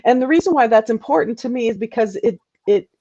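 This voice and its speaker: A woman's voice: on the high side, animated, fast, dark tone, no room sound. A woman from Chicago hosting a conversational interview over a video call, emphatic and thoughtful, often asking for agreement. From South Side of Chicago. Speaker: host. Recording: dry, slightly dull audio.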